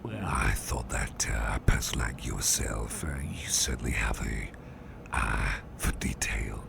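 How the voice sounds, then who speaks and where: Guy doing a courteous businessman boss for asmr, super low crackly near-whisper, gentle n polite, repeats himself. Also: lively intonation, heavily acted. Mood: polite, reassuring. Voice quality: very deep, gravelly, breathy near-whisper. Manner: gentle, repeats phrases, hesitant pauses, polite. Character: courteous, attentive businessman boss, soothing and obliging. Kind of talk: soft-spoken ASMR roleplay.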